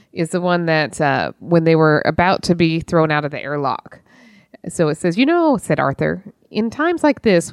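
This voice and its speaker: A woman's voice: lowish voice, no echo, clean studio sound. A woman co-hosting a podcast in casual chat, informal and blunt with some swearing. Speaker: podcast co-host. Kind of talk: casual chat about books.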